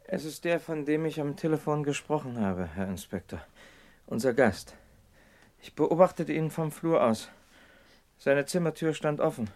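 A man's voice: on the high side, animated, moderate pace, a little mellow. An actor playing an evasive man justifying himself to a police inspector in a German radio crime drama. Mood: explaining.

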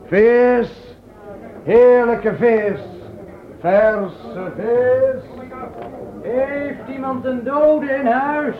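A man in a radio play voicing a wandering fish hawker calling out loudly in long drawn-out cries. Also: extremely high pitch, full character voice. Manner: loud drawn-out street calling. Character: itinerant fish hawker crying his wares. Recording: tinny, echoey old radio recording.

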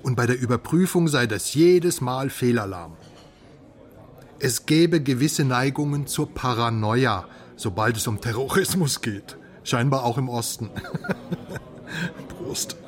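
Musing, optimistic armchair philosopher. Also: male voice, mid-range voice, lively intonation, takes it slowly. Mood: wry, relaxed. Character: philosophizing, optimistic man drinking beer with his friend. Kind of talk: German radio drama acting.

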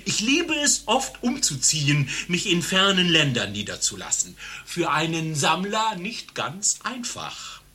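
An actor playing a vain, florid globe-trotter in a satirical radio play, pompous and self-satisfied. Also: male voice, a little high, pushed and bright, heavily acted.

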